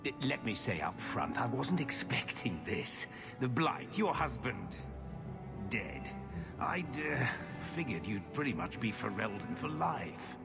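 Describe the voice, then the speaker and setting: An actor playing a shifty, weary middle-aged uncle in a video game, gruff and evasive. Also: male voice, mid-range voice, sing-song, unhurried. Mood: awkward, evasive. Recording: music playing behind the voice.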